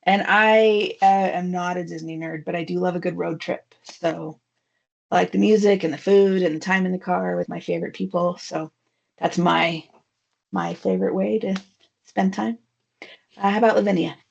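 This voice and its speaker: A woman's voice: lowish voice, steady tone, moderate pace, gravelly. A woman leading an online team meeting, chatty and friendly with frequent fillers, heard over a video call. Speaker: meeting facilitator. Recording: dull lo-fi audio.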